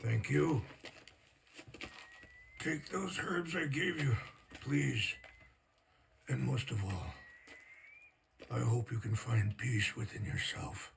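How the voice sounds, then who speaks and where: Slow, gravelly, dignified older chief. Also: male voice, mid-range voice, a little hiss or hum. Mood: solemn, grateful. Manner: measured, solemn. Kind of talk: dramatic game acting.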